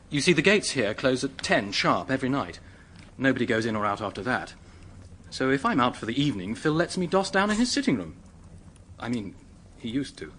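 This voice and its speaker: An actor playing an earnest, polite young British secretary who is anxious and hesitant, in a radio drama. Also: male voice, mid-range voice, a bit bright, a little hiss or hum. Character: earnest, flustered young English male secretary, helpful and anxious.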